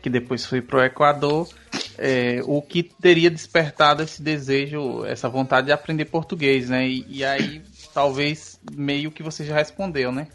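A man's voice: a little high, fairly even intonation, measured pace, fairly bright tone, faint music behind. Man asking rambling questions. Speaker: interviewer. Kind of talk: casual interview.

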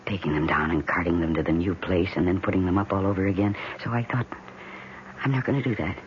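A woman's voice: extremely low pitch, fairly even intonation, fast talker. An American woman playing an anxious wife who tenderly dotes on her houseplants, acted intensely in 1970s radio drama style. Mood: subdued, confessing. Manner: intense, dramatic delivery with repeated words and pleading emphasis. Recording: dull, noisy 1970s radio recording.

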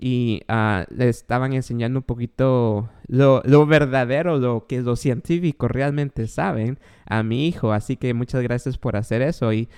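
A man with a Guatemalan accent hosts his podcast, speaking in a clear, smooth voice of middling pitch. He rambles warmly through his questions, full of enthusiasm and playful asides. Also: normal speed, hardly any pauses. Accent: Guatemalan Spanish. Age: adult, father of a young child. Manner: enthusiastic, rambling, self-described joker. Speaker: podcast host. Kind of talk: casual podcast interview.

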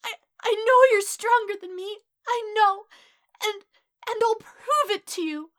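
Woman as a high, sobbing, timid teenage boy. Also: a little slow, fairly bright tone. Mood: desperate pleading. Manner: stammering, desperate, pleading. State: crying. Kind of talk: dramatic fan fiction reading.

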